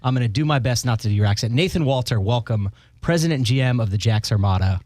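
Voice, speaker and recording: A male podcast host talks quickly and eagerly, cracking jokes as he steers the chat. His voice is mid-pitched and recorded close and dry. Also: a little mellow, no echo. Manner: enthusiastic and jokey. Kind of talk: casual interview chat.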